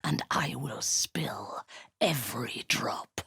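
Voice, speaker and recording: A woman's voice: very deep voice, lively intonation, takes it slowly, creaky, a bit bright, full character voice. A woman voicing a cruel, zealous drow warlord in a video game, giving cold commands that often sink into a menacing whisper.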